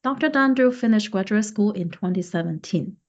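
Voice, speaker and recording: A woman speaks as the host of an interview podcast. Her pitch is average for a female voice and her phrasing is deliberate and a little halting. The sound is dull and muffled. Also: lively intonation.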